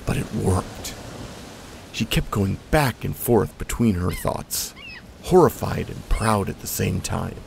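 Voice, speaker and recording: A man reads a story aloud in a measured, steady manner. His voice is very low with a gravelly fry, and clear background noise runs under it. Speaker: narrator. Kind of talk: scripted story narration. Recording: noisy recording.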